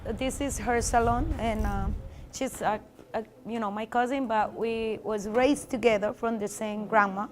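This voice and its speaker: A woman's voice: on the high side, steady tone, a little slow, a little hiss or hum. Reflective Salvadoran woman in her fifties. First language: Spanish. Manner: heartfelt, reflective, often restarting phrases. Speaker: barber shop owner. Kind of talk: documentary interview.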